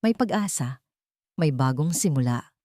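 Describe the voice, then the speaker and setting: A woman's voice: low voice, animated, unhurried, darkish tone, dry recording, studio quality. A woman voicing a resilient young mother and survivor who speaks steadily and earnestly in an audio drama. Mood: resolute, hopeful.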